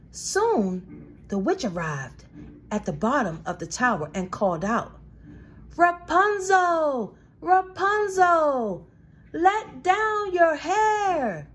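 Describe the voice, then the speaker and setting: A woman storyteller reading a children's storybook aloud with dramatic, deliberate phrasing. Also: mid-range voice, darkish tone, a little hiss or hum.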